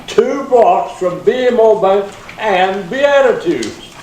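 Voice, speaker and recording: A man reading the part of a wry police detective in an amateur readers theater, deliberate in manner. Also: sits high, animated, darkish tone. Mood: focused. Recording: echoey room from far off, faint noise.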